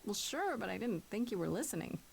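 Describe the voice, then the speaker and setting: Frank, wry young muse. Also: female voice, mid-range voice, very animated, brisk, creaky, a little hiss or hum. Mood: wry, surprised. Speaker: voice actor. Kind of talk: casual, natural game dialogue.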